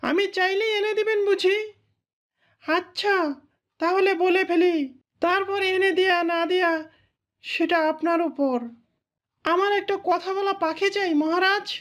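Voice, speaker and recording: A woman's voice: very high voice, steady tone, unhurried, pauses often, a bit bright. A woman playing a lonely, wistful queen in a cartoon, with a plaintive, coy manner. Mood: coy, hopeful. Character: lonely, wistful queen, sulky and pleading wife. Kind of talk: cartoon voice acting.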